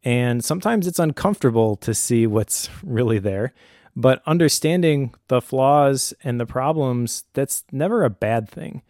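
A middle-aged man speaks with a warm, relaxed tone in a dry recording. He offers advice conversationally, as a host would, in an earnest and measured way that encourages the listener. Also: medium pitch, no echo. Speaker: podcast host, homeschooling father.

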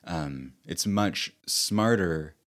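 Deep-voiced male author-host, soft-spoken and thoughtful, speaks slowly with pauses. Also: expressive. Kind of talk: reflective personal talk.

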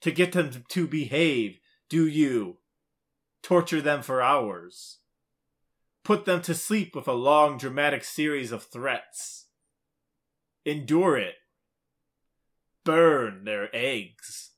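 A man with a bright, crisp-edged voice chats as a podcast co-host. His pitch sits mid-range but moves around animatedly, and his delivery is casual and playfully teasing. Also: expressive, takes it slowly, long pauses. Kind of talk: casual bantering podcast chat, reading out quiz questions.

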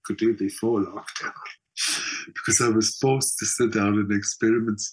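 A man's voice: on the low side, little pitch movement, moderate pace, slightly rough, low-quality recording. A middle-aged man with a South African accent, chatting casually as an interview guest and telling stories, often checking that the listener follows. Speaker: skills trainer. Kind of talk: casual conversational storytelling.

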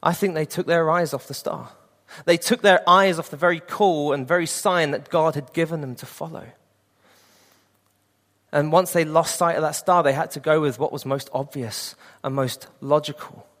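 A man's voice: a little high, normal speed, fairly bright tone, big-room sound. A man in his late twenties preaching a sermon and sharing an earnest personal testimony. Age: 20s.

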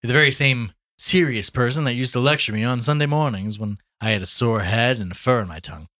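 A man's voice: medium pitch, quick pace, sharp tone, pitched above the performer's own voice, natural voice. A man voicing a jovial, boastful journalist in a storybook, hearty and exclamatory. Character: loud, swaggering, jovial male Irish journalist back from London.

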